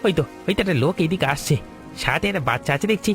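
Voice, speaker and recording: A man plays a struggling vegetable seller in a cartoon village tale. He talks quickly in a high, fretful, beseeching voice, with faint music underneath. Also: lively intonation, a bit bright. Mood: distressed. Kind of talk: cartoon voice acting.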